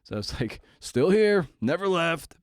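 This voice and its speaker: A man's voice: fairly high pitch, big pitch swings, unhurried, no echo. A man in his forties hosting a casual solo podcast, rambling in a wry, self-deprecating way into a close microphone. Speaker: podcast host.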